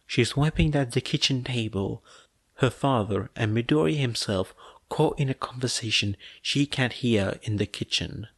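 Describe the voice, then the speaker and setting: A man reading fiction aloud as an audiobook narrator, soft and measured, with dramatic pauses between phrases. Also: mid-range voice, dry recording.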